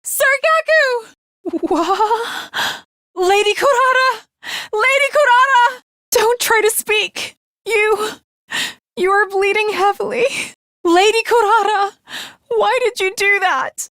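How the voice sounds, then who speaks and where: A woman's voice: extremely high pitch, slow pace, bright, forceful tone, no echo, studio quality, full character voice. A woman playing an earnest, haughty young female samurai in an anime video game, with formal, archaic, melodramatic diction. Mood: panicked, distressed. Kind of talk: dramatic anime game character acting.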